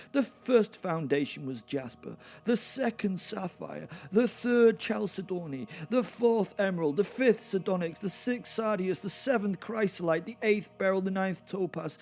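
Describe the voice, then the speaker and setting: A man's voice: high voice, a little mellow, voice pushed up, heavily acted. A man voicing a grandiose, solemn reciter of scripture in an audio drama, declaiming pompously. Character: grandiose scripture-reciting voice possessing a sardonic werewolf.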